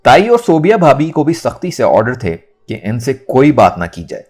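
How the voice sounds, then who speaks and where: Male audiobook narrator, mid-pitched, animated dramatic storytelling with soft music behind. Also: moderate pace, husky. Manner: expressive, acts out dialogue. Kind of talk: dramatized Urdu novel narration. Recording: music under the voice. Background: background music.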